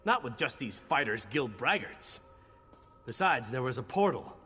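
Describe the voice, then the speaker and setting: A man's voice: medium pitch, very animated, measured pace, pushed and bright. A man playing a cocky, sardonic knight in a video game, with a flippant, teasing, wry way of talking. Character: cocky sardonic knight, male adventurer, jaded but charming. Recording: long hall reverb, music behind.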